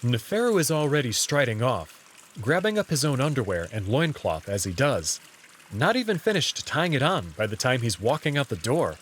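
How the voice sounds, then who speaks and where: A man's voice: mid-range voice, sing-song, moderate pace, fairly bright tone, a little hiss or hum. A man reading first-person story narration in the role of narrator.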